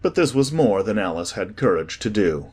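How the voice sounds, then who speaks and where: A man narrating a storybook from a script as an expressive audiobook reader. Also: lowish voice, normal speed, husky, soft background music.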